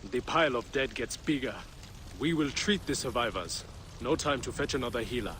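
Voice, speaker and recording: A man's voice: mid-range voice, animated, moderate pace, fairly bright tone, slight background noise, lightly acted. An actor playing a weary, somber man at war in a game, speaking matter-of-factly. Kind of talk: video game cutscene acting.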